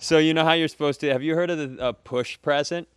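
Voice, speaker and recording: A man's voice: fairly high pitch, steady tone, quick pace, fairly bright tone, clear, resonant tone, no echo. A man co-hosting a comedy podcast, telling rambling stories in a dry, casual, joking way.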